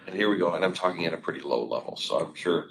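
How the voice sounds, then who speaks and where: A man with a very deep, low voice hosts a live webinar demo in a conference room. He asks relaxed guiding questions, picked up by a room mic with clear background noise underneath. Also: quick pace. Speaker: webinar host.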